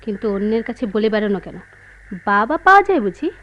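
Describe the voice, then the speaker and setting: A woman's voice: mid-range voice, big pitch swings, quick pace, fairly bright tone. A woman playing a hurt, suspicious, proud wife in an emotional radio drama. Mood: sharp reproach. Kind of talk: Bengali radio play acting. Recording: dull, noisy old radio drama recording.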